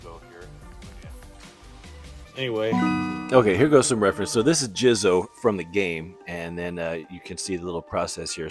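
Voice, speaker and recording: Deep voiced middle aged guy rambling casually, kinda halting and modest, music playing behind him. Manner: informal, halting, self-deprecating. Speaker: artist showing his portfolio. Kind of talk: casual rambling commentary over artwork. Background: background music.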